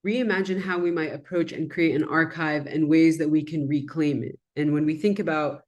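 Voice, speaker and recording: A woman's voice: deep voice, fairly even intonation, normal speed. A woman whose first language is Arabic, giving a conversational presentation on her design research while walking through slides. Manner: reflective and conversational, with frequent "um" and "kind of".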